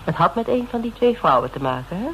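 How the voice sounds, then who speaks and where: A shrewd woman interrogator with a low voice. She needles and mocks in a conversational radio-drama delivery. The recording has a faint layer of noise. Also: sing-song, fast, fairly bright tone, low-quality recording. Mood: accusing, probing. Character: shrewd, mocking female interrogator pressing a photographer.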